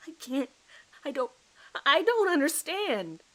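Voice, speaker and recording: A woman voicing a bewildered, frightened male suspect who speaks haltingly in an audio horror drama. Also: sits high, sing-song, a bit bright, voice pushed up, exaggerated voice. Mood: panicked, pleading. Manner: halting, stammering. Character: panicked, bewildered male suspect pleading innocence under questioning.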